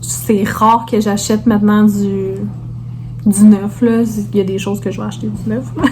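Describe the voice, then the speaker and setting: Female vlogger, Québécois accent, medium pitch, relaxed informal chat to viewers with some English slang, light background noise. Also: normal speed, rough recording. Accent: Québécois French. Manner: informal, peppered with English words.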